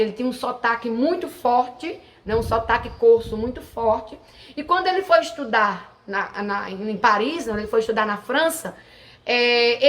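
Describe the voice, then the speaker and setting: A woman hosting a history channel, explaining in a chatty, informal way with many fillers and restarts. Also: fairly high pitch. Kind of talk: casual educational talk to camera.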